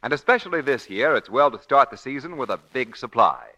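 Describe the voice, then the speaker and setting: A man's voice: mid-range voice, lively intonation, brisk, pushed and bright, poor audio. A man announcing a 1940s radio show and its advertising, polished, emphatic and declamatory. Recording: old 1940s radio broadcast.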